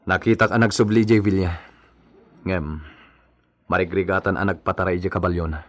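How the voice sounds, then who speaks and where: A man's voice: low-pitched, fairly even intonation, normal speed, no room sound, close to an everyday voice. A man with an Ilocano accent playing a blunt, commanding son in a family radio drama. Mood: grave reporting.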